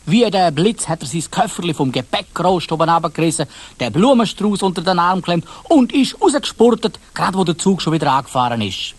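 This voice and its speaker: A man's voice: high-pitched, sing-song, a little fast. A man with a Swiss German accent narrating a dramatised children's story, addressing young listeners directly.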